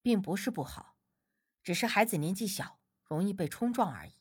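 A woman voicing a calm, gentle young man with mystical know-how in an audiobook, speaking in a measured way. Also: mid-range voice, slightly rough, dry recording, studio quality, voice pushed down. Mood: calm explaining.

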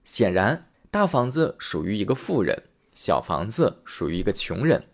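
A male storyteller reading a classic fairy tale aloud for children. His friendly delivery rises and falls a lot in pitch, keeping the telling lively. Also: moderate pace, vocal fry. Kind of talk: bedtime story narration.

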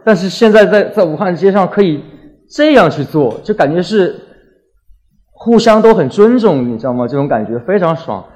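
A man's voice: high voice, animated, moderate pace, darkish tone. Young man telling stories on stage. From Wuhan, China. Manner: colloquial, acts out little exchanges. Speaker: graffiti artist giving a talk. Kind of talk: casual stage talk, anecdotal storytelling.

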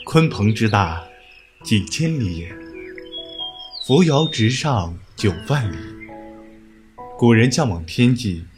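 Man as a courteous, expressive young scholar. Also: lowish voice, slow, long pauses, music in the background. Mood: musing. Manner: formal, literary, amused. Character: courteous, gentle young scholar of old times. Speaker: voice actor. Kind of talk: period audio drama acting.

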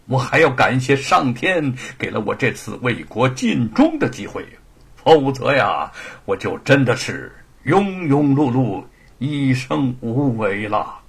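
A man's voice: medium pitch, sing-song, a little slow, vocal fry, husky, exaggerated voice. A man voicing a confident, patriotic scholar-official rallying defenders in a historical story, earnest, formal and stirring. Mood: solemn pride. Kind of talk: dramatised Chinese historical storytelling.

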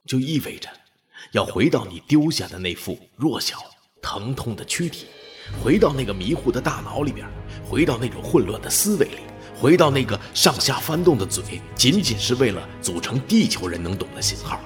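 A man's voice: medium pitch, very animated, moderate pace, soft background music, natural voice. A man playing an eager, awed dog companion in a radio drama, with an earnest, theatrical delivery. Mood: scornful, insistent. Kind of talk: dramatic radio play acting.

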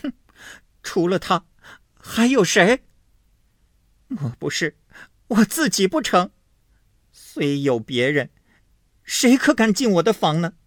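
A reader voicing a spiteful, scheming young wife who sneers and wails accusations in a storybook reading. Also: female voice, rumbling low, very animated, slow, long pauses, breathy edge, dry recording, clean studio sound, pitched above the performer's own voice, full character voice. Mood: scornful shrill.

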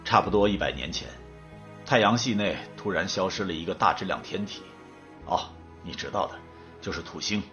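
Composed official in an audio drama, lowish, muffled sound with faint music. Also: male voice, animated, normal speed, a bit bright. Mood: serious explaining. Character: composed male official briefing a colleague. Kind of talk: Chinese audio drama acting.

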